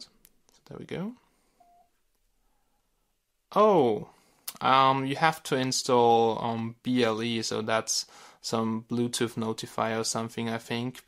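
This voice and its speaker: A man's voice: mid-range voice, a bit bright. A man with a German accent and native German, giving a casual hands-on gadget review as a video host.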